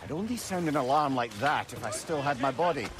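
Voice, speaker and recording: A man's voice: medium pitch, animated, brisk, close to an everyday voice. A man playing a wry, talkative sage head. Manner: wry, learned and chatty. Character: disembodied head of a wise old male sage. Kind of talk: video game cutscene acting. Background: game score music.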